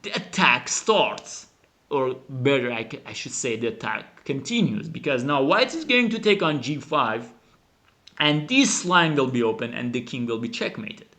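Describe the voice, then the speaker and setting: A man who is a non-native English speaker gives animated, emphatic chess commentary as a teacher, dramatizing the game. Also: mid-range voice, normal speed. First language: not English. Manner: dramatic, emphatic, acts out the players' thoughts. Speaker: chess teacher and channel host.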